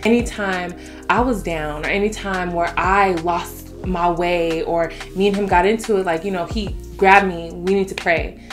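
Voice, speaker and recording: A young woman hosting a relaxed couple Q&A with her husband. Her voice sits on the low side, and she tells stories with lots of animation, laughing and cursing. Soft music plays faintly in the background. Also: moderate pace. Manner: animated storyteller, laughs and curses freely. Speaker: co-host of couple channel. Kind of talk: casual couple Q&A chat.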